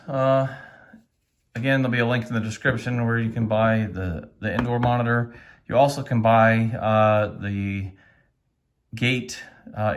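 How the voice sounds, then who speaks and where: A man with a fairly low voice narrates a hands-on product demonstration. He talks casually, feeling his way through each step and thinking aloud as he works the device. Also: a little hiss or hum. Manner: tentative, thinking aloud while operating a device.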